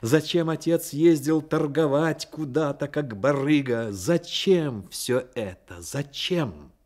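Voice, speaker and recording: A man's voice: a little high, slow, a little mellow. A man performing as the earnest, troubled narrator of a literary radio drama. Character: earnest male storyteller, troubled and sympathetic.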